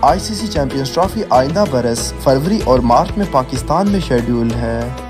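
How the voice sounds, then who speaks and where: A man with a Pakistani accent delivers a scripted news report. His voice sits at an average male pitch and his delivery is formal and declarative. Music plays clearly behind him throughout. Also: lively intonation, moderate pace. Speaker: news narrator. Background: background music.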